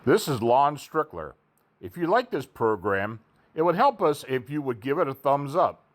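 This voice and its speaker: Lively man hosting, swinging intonation. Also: medium pitch, moderate pace. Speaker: programme host. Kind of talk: scripted sign-off to listeners.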